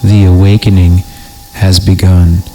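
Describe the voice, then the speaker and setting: Low-voiced man reading horror over noise. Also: little pitch movement, dark tone. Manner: theatrical, drawn-out delivery broken by muttered asides. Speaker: comedy podcast host reading horror stories. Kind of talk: dramatic creepypasta reading with comic profane asides. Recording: clearly noisy background.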